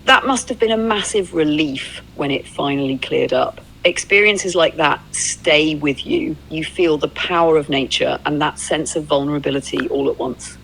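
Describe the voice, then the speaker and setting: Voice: mid-range voice, normal speed, vocal fry, few pauses, fairly bright tone, a little hiss or hum, low-quality recording. An androgynous synthetic voice in the role of a travel companion, telling stories and replying in a fluent, agreeable, reflective conversational style. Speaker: AI companion playing a road-trip documentarian. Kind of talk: conversational roleplay storytelling.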